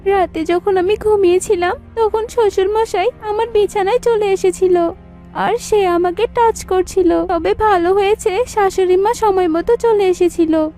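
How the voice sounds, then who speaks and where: Woman doing a timid upset young bride for a cartoon, super high soft voice, light music behind. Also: a little slow. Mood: worried, pleading. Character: distressed, timid young daughter-in-law. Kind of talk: dramatised cartoon story dialogue.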